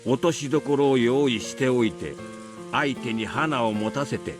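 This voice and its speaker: A man's voice: mid-range voice, fast, natural voice. A man voicing a shrewd, easygoing middle-aged businessman with a Kansai accent in a visual novel. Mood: calm advising. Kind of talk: Japanese visual novel voice acting. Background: music and rain.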